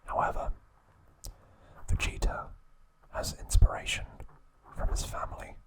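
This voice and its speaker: A man whispering an ASMR recap of trivia, pausing now and then to correct himself. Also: low-quality recording. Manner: slow soft whisper, occasional self-corrections. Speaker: ASMR host.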